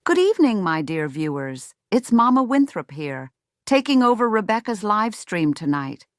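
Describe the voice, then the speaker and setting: A woman's voice: mid-range voice, no room sound, clean studio sound, close to an everyday voice. A woman playing a strict, self-righteous middle-aged mother in a scripted roleplay, speaking to viewers with folksy, sugary condescension. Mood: smug, stern. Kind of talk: scripted ASMR roleplay monologue.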